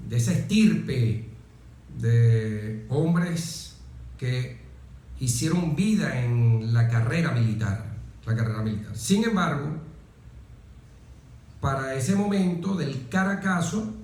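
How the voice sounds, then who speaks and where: A man in his forties with a Venezuelan accent, speaking deliberately and emphatically as an interview guest, with pauses and repeated phrases. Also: mid-range voice, darkish tone. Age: 40s. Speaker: interview guest, military officer. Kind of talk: reflective, oratorical interview talk. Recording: very echoey room.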